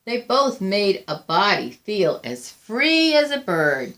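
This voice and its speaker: A woman reading a children's picture book aloud in a deliberate, expressive storytelling manner, with drawn-out emphasis and pauses. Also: mid-range voice. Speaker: volunteer picture book reader.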